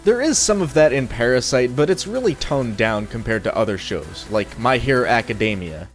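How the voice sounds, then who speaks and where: Lively young man reviewing, sardonic. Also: mid-range voice, moderate pace. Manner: casual, sardonic, blunt. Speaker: anime reviewer. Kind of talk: scripted video review narration. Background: faint music.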